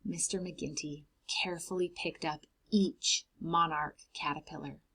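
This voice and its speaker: A woman reading a children's storybook aloud in an expressive way, explaining things simply to young children. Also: low-pitched, measured pace, husky, rough recording. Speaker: read-aloud host for preschoolers.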